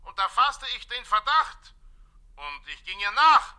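Excitable, high-pitched rambling village policeman. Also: a little slow, full character voice. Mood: flustered, eager. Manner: flustered, rambling, hesitant with self-corrections. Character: excitable long-winded village policeman, eager and self-important. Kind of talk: 1960s German radio play acting.

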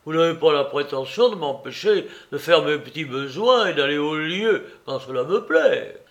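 A man's voice: a little high, animated, lo-fi sound. A man voicing a stubborn, dim-witted old clerk in his sixties, indignant and whiny in a storybook reading. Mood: defiant. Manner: indignant, whiny, drawn-out exclamations. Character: cranky stubborn old male office clerk.

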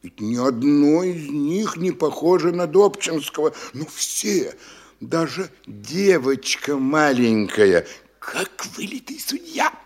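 An actor playing a fawning, sly official who gossips and tells tales in an obsequious way in a classic radio play. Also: male voice, a little high, very animated, slow, exaggerated voice. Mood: tattling. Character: fawning middle-aged male official, sly telltale.

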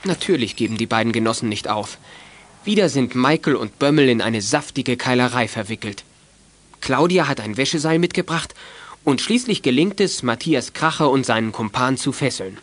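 A man's voice: mid-range voice, lively intonation, normal speed. A man narrating a children's audio drama as a scripted storyteller.

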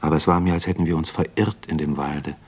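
Brooding doctor, low husky voice, breathy, introspective, classic radio drama. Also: male voice, steady tone, fast, darkish tone. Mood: brooding, haunted. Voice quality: husky, breathy, at times near whisper. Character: young male doctor, brooding, introspective, haunted. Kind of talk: German radio drama acting, inner monologue.

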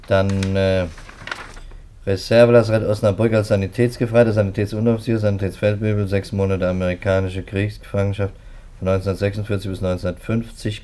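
A skeptical police detective, voiced by an actor in an old radio play, with a somewhat low voice. He runs through facts briskly and doubts everything. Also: a little mellow, slight background noise, close to an everyday voice. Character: skeptical, matter-of-fact male police detective. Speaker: police investigator. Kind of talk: 1950s radio drama acting.

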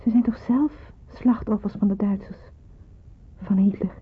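Hesitant young nurse, thin old recording. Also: female voice, medium pitch, fairly even intonation, measured pace, slightly rough. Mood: brooding, troubled. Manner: hesitant, earnest. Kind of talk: radio drama acting. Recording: muffled, narrow-band old radio play, hissy.

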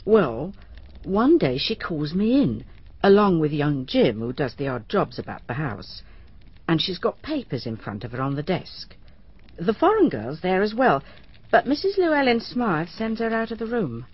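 A woman's voice: lowish voice, sing-song, normal speed, husky. A humble widowed cleaning woman. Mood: deferential. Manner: deferential, plain-spoken storyteller. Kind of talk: radio drama acting. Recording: dull lo-fi radio drama recording.

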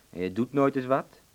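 A man's voice: mid-range voice, lightly acted. A man in a radio play, acting a cynical, coarse and self-satisfied wartime lawyer with a sardonic, needling way of talking. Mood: needling, crude.

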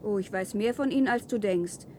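A woman's voice: mid-range voice, fairly quick, noisy background, natural voice. An actress playing a defiant woman settler in a German science-fiction radio play, speaking in a pointed, challenging way. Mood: defiant, explaining. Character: defiant woman settler of an outlaw wilderness colony.

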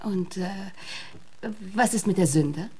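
An actress playing a flirtatious, sultry fiancée in a radio play, coquettish and gently mocking. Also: female voice, lowish voice, takes it slowly, slightly rough. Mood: teasing. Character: seductive, teasing beauty, wealthy man's flirtatious fiancée.

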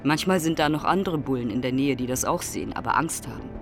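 A man's voice: mid-range voice, expressive, fast. Older seafaring man reminiscing, music behind. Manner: discursive, marvelling, vividly descriptive. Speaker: former seaman recalling his voyages. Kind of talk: spontaneous spoken reminiscence in a radio feature.

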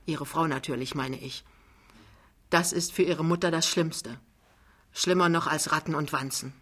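Blustering big-man hustler played by a female reader: jovial, chummy, colloquial, fast-talking audiobook character. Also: low-pitched, fairly even intonation, a bit bright. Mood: confiding, chummy. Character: big, boisterous, fast-talking male wheeler-dealer, jovial. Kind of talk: dramatized literary reading.

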